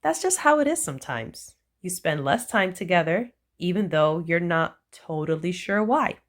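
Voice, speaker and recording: A woman reading a children's picture book aloud, with slow, playful storytelling and animated emphasis. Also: lowish voice.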